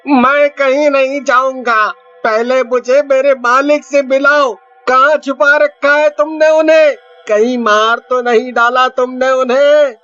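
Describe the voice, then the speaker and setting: A worried villager from a horror cartoon, acted by a man, speaks slowly in a very high voice. His talk is dramatic, pleading and colloquial, on a muffled track with music behind it. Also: steady tone, pushed and bright, full character voice. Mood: defiant, anxious. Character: frightened village men in a haunted tale. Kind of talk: Hindi horror cartoon character acting.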